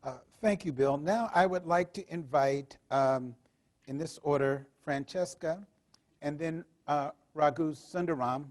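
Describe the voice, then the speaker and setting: A man's voice: on the high side, measured pace. A man moderating a panel discussion on a video call, polite and a little hesitant.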